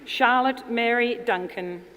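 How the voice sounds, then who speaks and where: A woman formally announcing graduates' names at a ceremony, in a measured, deliberate delivery. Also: on the high side. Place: large indoor ceremony hall. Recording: reverberant hall, audience noise, clear background noise. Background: audience crowd noise.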